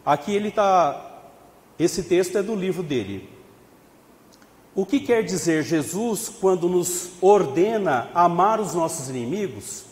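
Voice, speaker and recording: A man with a Brazilian Portuguese accent leads a religious study session, explaining scripture in a conversational way. His voice sits somewhat high for a man. He asks rhetorical questions and checks in with his listeners, and his words ring with the long reverb of an echoey hall. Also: animated, unhurried. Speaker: study group leader at a spiritist center.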